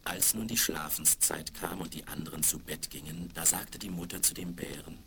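A man's voice: very deep voice, moderate pace, creaky, bright, forceful tone. A man narrating a scripted fairy tale for children as storyteller, on a crackly 1970s vinyl record.

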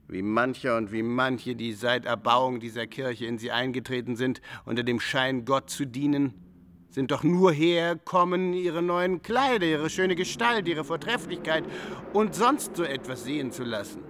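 An actor playing a brazen, cynical robber soldier in a radio play, declaiming scornfully in archaic phrasing. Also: male voice, mid-range voice, fairly bright tone, slight background noise. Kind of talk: German radio play acting.

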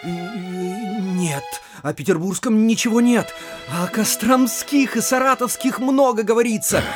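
A man's voice: high-pitched, unhurried, faint music behind. A man playing a nosy, naive, self-satisfied provincial postmaster in a theatrical comedy audio play, savoring his words in a gushing singsong. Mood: delighted, gushing.

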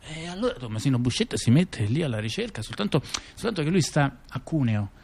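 A man playing a rambling intellectual in a conversational radio theatre piece, explaining things haltingly with repeated words and digressions. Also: medium pitch, very animated, creaky, a little mellow, breathy edge, full character voice. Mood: animated explaining, ironic.

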